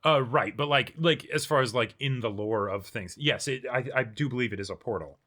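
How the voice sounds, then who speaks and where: A man with a bright, clear-cut tone discusses an episode as co-host of an anime show. His delivery is casual and conversational, working ideas out as he speaks. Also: mid-range voice, a little fast.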